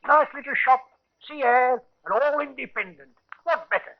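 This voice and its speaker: An actor playing a scheming, wheedling Victorian barber and publican in a radio drama. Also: male voice, extremely high pitch, normal speed. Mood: cajoling. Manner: colloquial, cajoling, brash. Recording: muffled 1950s radio recording.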